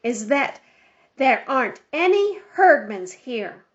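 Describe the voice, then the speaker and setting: Blunt young schoolboy for a children's audiobook, defiant and emphatic, raised pitch played by a woman. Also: sing-song, takes it slowly, lo-fi sound, exaggerated voice. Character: blunt, defiant little second-grade schoolboy.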